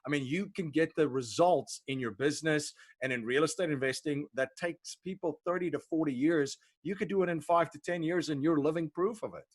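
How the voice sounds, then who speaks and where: A man hosting a podcast interview, enthusiastic and a little halting, repeating words as he goes. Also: a little high, no echo. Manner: enthusiastic, repeats words while finding phrasing. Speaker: podcast host. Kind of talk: casual interview chat.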